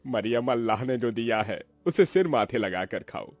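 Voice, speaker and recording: A man's voice: medium pitch, animated, normal speed, no room sound, natural voice. A man voicing a poor, proud and pious older uncle in a sentimental cartoon drama, speaking earnestly. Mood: weary, devout. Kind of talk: melodramatic cartoon voice acting.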